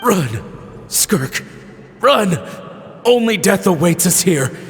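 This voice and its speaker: High-voiced desperate protector, echoing. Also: male voice, sing-song, takes it slowly, heavily acted. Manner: urgent, pleading. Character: desperate, protective man urging someone to flee. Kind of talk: dramatic game voice acting. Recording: long echoing reverb.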